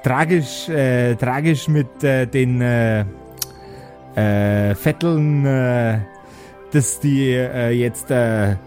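A man voicing a bumbling dwarf village elder who talks in halting, convoluted officialese, full of hesitations. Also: medium pitch, a little mellow, soft background music. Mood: flustered, pompous. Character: long-winded, bumbling dwarf village elder, a stammering politician.

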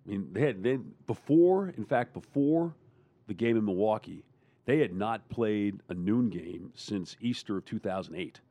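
A man's voice: on the low side, lively intonation, normal speed, warm tone, no room sound. A man who works as a radio broadcaster, talking emphatically in a sports roundtable and repeating phrases for stress.

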